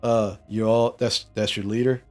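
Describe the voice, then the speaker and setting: A man voicing a cautious, dry-mannered middle-aged townsman in a tabletop game, speaking low and measured over faint music. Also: level tone, close to an everyday voice. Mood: guarded. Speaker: non-player character.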